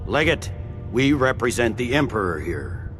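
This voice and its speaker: An actor playing a stern imperial general, clipped and commanding, in a fantasy game. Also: male voice, mid-range voice, big pitch swings, moderate pace, fairly bright tone, big-room sound. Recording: prominent game music behind.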